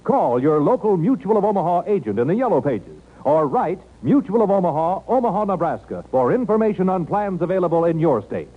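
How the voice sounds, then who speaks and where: A man's voice: high-pitched, sing-song, brisk, few pauses. A man with an American accent reading a scripted 1950s radio advertisement as an announcer. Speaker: commercial announcer. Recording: muffled, band-limited 1950s radio recording.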